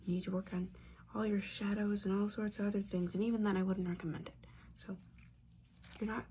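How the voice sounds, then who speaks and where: A woman playing an older witch mentor in a whispered ASMR roleplay, scolding and motherly, with wry, halting delivery and a breathy voice. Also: medium pitch, level tone, mellow, a little hiss or hum, natural voice. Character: disappointed older witch mentor, bossy, judgmental yet caring.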